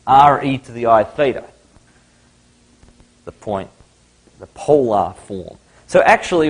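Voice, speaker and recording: A middle-aged man with an Australian accent, giving a live maths lecture in a chatty way, thinking aloud at the board. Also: medium pitch.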